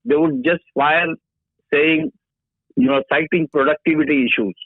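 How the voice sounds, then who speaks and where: A man in his sixties, a guest with a background in HR, explaining slowly and haltingly during a phone interview. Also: on the high side, very animated, gravelly, no room sound. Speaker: HR industry guest. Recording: dry tinny phone line.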